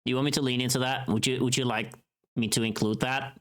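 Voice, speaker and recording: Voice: medium pitch, fairly even intonation, quick pace. A streamer of unclear sex chatting casually to a live audience and thinking aloud while working. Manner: thinking aloud, humming little tunes between remarks. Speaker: streamer and voice performer. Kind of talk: casual livestream chat.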